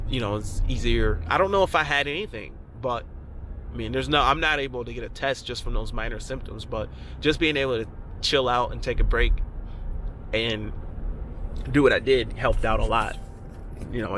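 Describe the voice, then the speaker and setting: A man's voice: mid-range voice, fairly bright tone, a little hiss or hum, low-quality recording. A congested man talking frankly to camera outdoors in a casual vlog, rambling with many restarts. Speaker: vlogger, lawn care business owner. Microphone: action camera.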